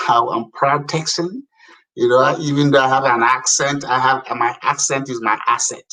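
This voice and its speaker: A middle-aged man from Africa, a guest telling lively stories about public service in a casual talk, laughing often. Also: mid-range voice, moderate pace, far from the mic, poor audio. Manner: animated storyteller, laughs often. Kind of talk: casual radio conversation.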